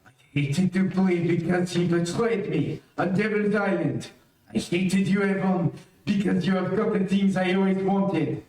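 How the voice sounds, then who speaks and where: An actor playing a bitter, envious dying villain in an old-time radio melodrama. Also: male voice, sits high, fairly even intonation, unhurried, long pauses, darkish tone. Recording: very echoey room, distant mic.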